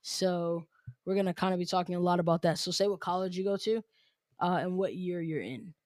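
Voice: medium pitch, darkish tone, no room sound. Upbeat young podcast host, dry sound. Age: young adult. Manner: upbeat, teasing, quick asides. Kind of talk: casual podcast chat.

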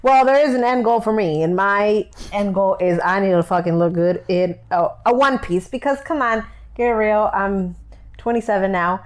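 A woman's voice: medium pitch, normal speed. A woman in her twenties co-hosting a podcast, chatting casually with her husband in a playful, teasing and frank way. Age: 20s. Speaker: podcast co-host.

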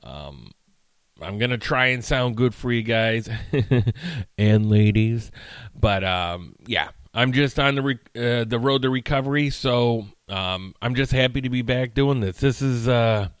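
A man of ordinary pitch talks into a close mic in a dry, dead room, chatting loosely and restarting his sentences often. He sounds worn out and a little nasal, like someone still getting over a bad cold. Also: unhurried, clean tone, dry recording. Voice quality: slightly nasal. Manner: chatty, rambling, with frequent fillers and restarts. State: tired, recovering from illness. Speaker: podcast host. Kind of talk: casual solo podcast rant. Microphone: studio mic.

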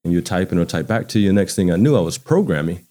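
A man's voice: deep voice, expressive, racing, dark tone. A man in his forties from Louisiana with an American accent, chatting casually and reflectively as he tells anecdotes in an interview. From Baton Rouge, Louisiana, United States. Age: 40s. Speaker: interview guest. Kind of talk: casual conversational storytelling.

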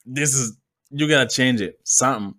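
A man's voice: mid-range voice, normal speed, pushed and bright, no room sound, clean studio sound. A man talking as a podcast guest in a laid-back, loose and joking way. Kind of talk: casual conversation.

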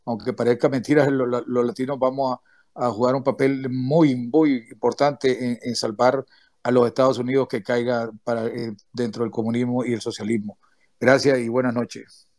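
A man speaks over a remote audio call. His delivery is halting, stopping and restarting phrases as he gathers his thoughts. The sound is dry and close, with an ordinary mid-range voice. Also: mid-range voice, flat delivery, darkish tone, dry recording. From Nicaragua. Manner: halting, full of restarts and hesitations. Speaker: activist speaker, former political prisoner. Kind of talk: closing remarks in an online audio chat room.

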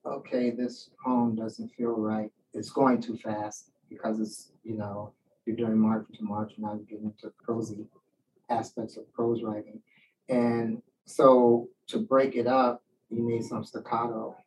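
A man's voice: fairly low pitch, measured pace. Guy chatting thoughtfully like a writer at a q&a, acts out quotes, mic kinda far off, bit of background noise. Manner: animated storyteller, acts out quoted remarks. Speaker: poet and writing teacher giving a talk. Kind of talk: casual reflective question-and-answer talk.

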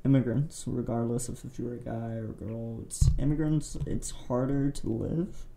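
A man's voice: mid-range voice, steady tone, normal speed, creaky, dark tone. A young man from Pakistan, a podcast guest talking candidly and in a rambling, self-deprecating way. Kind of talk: casual podcast conversation.

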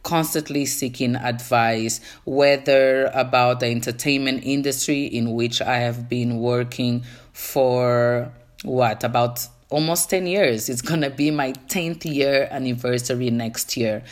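Earnest woman with a Portuguese accent. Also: rumbling low. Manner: earnest, speaks straight to listeners. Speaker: podcast host. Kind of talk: casual faith-centered monologue.

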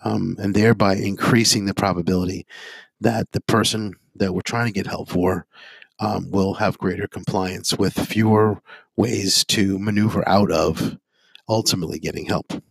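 A hoarse man, a psychotherapist and addiction interventionist, giving a halting talk to viewers full of ums and uhs and strings of listed phrases. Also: deep voice, steady tone, dry recording. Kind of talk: informational monologue to viewers.

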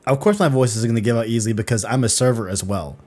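A man's voice: mid-range voice, fast talker, a little mellow. Laid-back slangy man hosting a chat. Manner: laid-back, slangy, trails off and repeats himself. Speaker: podcast host. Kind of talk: casual chat.

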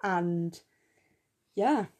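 Chatty young woman, slightly croaky. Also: fairly low pitch, extremely slow, darkish tone. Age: young adult. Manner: chatty, enthusiastic, many fillers. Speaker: podcast co-host. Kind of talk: casual chat. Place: her room at home. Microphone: phone.

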